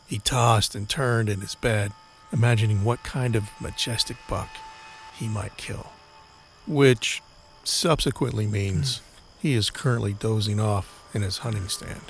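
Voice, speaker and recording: A man narrating a scripted crime-horror audio drama in a measured, deliberate storytelling manner. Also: lowish voice, steady tone, slight background noise.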